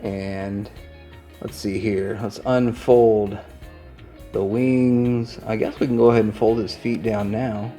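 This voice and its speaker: Low-voiced man giving a casual hands-on review. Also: fairly even intonation, takes it slowly, mellow, soft background music. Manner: rambling, easygoing, thinking aloud while handling items. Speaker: toy reviewer and presenter.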